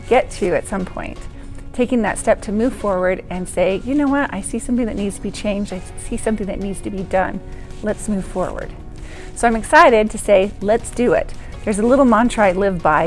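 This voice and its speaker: An earnest woman on a county council delivers an encouraging civic message. She sounds upbeat and motivational in a mid-pitched voice. Faint music sits beneath her words. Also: darkish tone.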